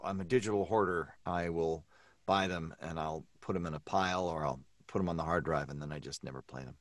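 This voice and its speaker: Very deep-voiced man, dry sound. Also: fairly quick, no room sound. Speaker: livestream panel guest. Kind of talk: casual chat.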